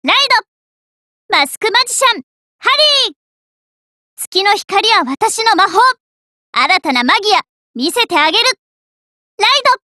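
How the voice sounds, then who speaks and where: Earnest, sweet teenage girl card fighter for an anime game; piercingly high, bright delivery, dry studio sound. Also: very animated, measured pace, long pauses, no room sound, heavily acted. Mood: theatrical, dreamy. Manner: sweet, eager, emphatic called-out phrases.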